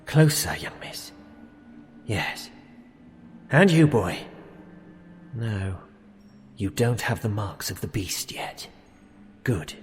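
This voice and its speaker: Crazed old british archivist, slow and swooping, mutters then commands, radio play. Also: male voice, fairly low pitch, very animated. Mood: muttering, eerie. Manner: muttering, theatrical, broken short phrases. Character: half-mad older gentleman archivist, haunted, urgent, commanding. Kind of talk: radio theatre acting. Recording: long echoing reverb, music underneath.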